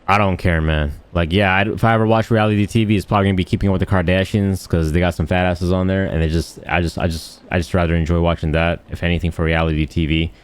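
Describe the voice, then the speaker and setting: A man's voice: deep voice, fairly even intonation, fast, few pauses, smooth. A man giving casual, unscripted gaming commentary in a blunt, rambling, slangy and crude way. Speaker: gaming video host.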